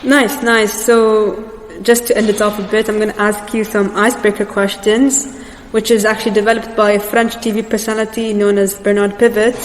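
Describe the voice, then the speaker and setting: A woman's voice: medium pitch, level tone, normal speed. A young woman asking questions as the interviewer in a casual interview. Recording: echoey reverberant room with background noise.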